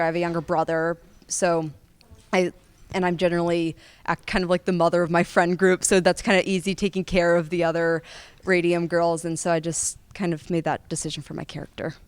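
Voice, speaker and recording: A teenage female student actor with an American accent, answering interview questions conversationally in a clear voice. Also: lowish voice, no echo. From United States. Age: teens.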